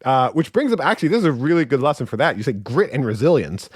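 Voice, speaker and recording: Quick-talking man hosting a podcast. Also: medium pitch, animated, breathy edge, no room sound, studio quality. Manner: enthusiastic, quick, conversational. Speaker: podcast host. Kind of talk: podcast interview.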